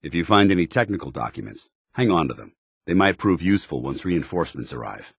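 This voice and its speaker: A dutiful paladin in power armor from a video game. His voice is very deep and recorded very dry. He speaks with stern, formal military bearing, clipping his phrases like an officer. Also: sing-song, fairly quick, vocal fry, slightly rough, dry recording, exaggerated voice. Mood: grave, watchful. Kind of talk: video game companion dialogue acting.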